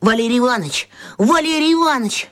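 An actress in a radio play voicing an anxious, earnest schoolboy with an urgent, pleading manner. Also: female voice, on the high side, animated, fast, a bit bright.

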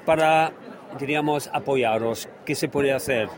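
A man's voice: medium pitch, moderate pace. A man working as a radio reporter, describing and interviewing on location in an unscripted, descriptive way. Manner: unscripted, descriptive, listing what is around. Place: large indoor exhibition hall at a fair. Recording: busy trade fair hall with crowd. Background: crowd murmur.

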